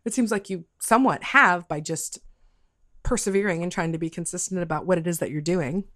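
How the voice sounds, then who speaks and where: A middle-aged woman interviewing in a casual, conversational way, halting and repeating words as she thinks aloud. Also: fairly low pitch, fairly bright tone, no echo, clean studio sound. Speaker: podcast interviewer.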